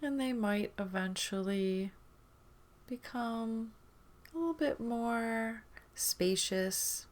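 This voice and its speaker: Slow-paced woman guiding meditation. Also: a little high. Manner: gentle, soothing, unhurried with long pauses. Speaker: meditation guide. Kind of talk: guided meditation.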